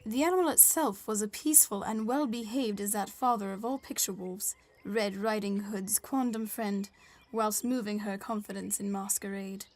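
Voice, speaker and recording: A woman's voice: medium pitch, moderate pace, darkish tone, close to an everyday voice, low-quality recording. A woman playing a polite, shrewd Victorian newspaper reporter, formal and wry, in a scripted audio drama. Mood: wry, narrating. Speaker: narrator and interviewer. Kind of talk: scripted first-person narration and dialogue.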